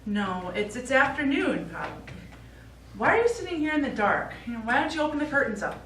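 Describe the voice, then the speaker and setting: A woman's voice: high voice, big pitch swings, a little fast, fairly bright tone. A middle-aged woman playing a practical, concerned daughter in a live stage play, coaxing with mild exasperation. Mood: brisk, checking in. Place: small indoor theatre stage, recorded from afar. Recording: echoey theatre, distant mic, faint noise.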